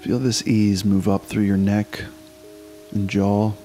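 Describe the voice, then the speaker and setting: A man leading a guided meditation, giving slow, unhurried instructions with long pauses. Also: fairly low pitch, little pitch movement, mellow, soft background music.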